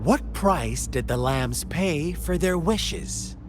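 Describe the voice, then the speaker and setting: An actor playing a mocking male villain who tells a dark parable in a game, theatrical and taunting. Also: lively intonation, noisy background. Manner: theatrical, slow and taunting, wild pitch swings. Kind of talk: game cutscene voice acting. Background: game score music.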